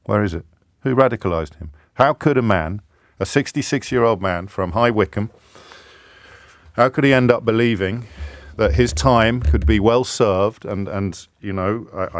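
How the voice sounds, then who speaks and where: A man hosting a radio phone-in with an English accent, giving a forceful, rhetorical monologue that keeps repeating its questions. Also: deep voice, no echo. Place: radio studio. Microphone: studio microphone.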